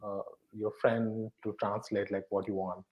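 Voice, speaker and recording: A man from eastern India, a native Bengali speaker with a Bengali Indian accent, answering casually in an interview at home in halting, repetitive phrases. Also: lowish voice, little pitch movement, a little mellow, poor audio. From West Bengal, eastern India. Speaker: podcast guest, bank professional.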